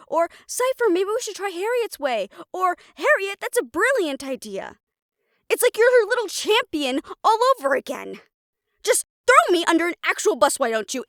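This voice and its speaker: Woman acting a high-pitched, frustrated siren sorceress. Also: fairly bright tone, no room sound, clean studio sound, exaggerated voice. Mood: angry, sarcastic. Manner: emotional venting, sarcastic and sweary, with heavy sighs. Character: frustrated, overworked siren-banshee sorceress, sharp-tongued but caring. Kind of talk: dramatic audio roleplay addressed to the listener.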